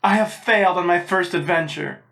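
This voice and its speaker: A woman's voice: lowish voice, normal speed, creaky, fairly bright tone. A woman voicing a gallant male knight with pompous, archaic, chivalrous speech for a storybook. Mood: mournful, ashamed. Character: weary, gallant old-fashioned male knight in armour. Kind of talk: dramatic storybook reading.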